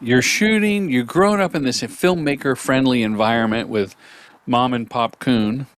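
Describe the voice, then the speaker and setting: A man's voice: medium pitch, moderate pace, no echo. A man hosting an interview podcast, joking and riffing playfully with his guest. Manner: jokey, riffs playfully, animated. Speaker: podcast host. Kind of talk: casual interview chat.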